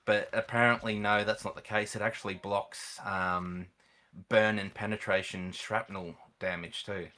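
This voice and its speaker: A man with a low voice and a bright, forward edge speaks as a seasoned gamer. He explains things in a casual, conversational way as a guest on a chat. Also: fairly even intonation, measured pace. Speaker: guest, experienced gamer and event organiser.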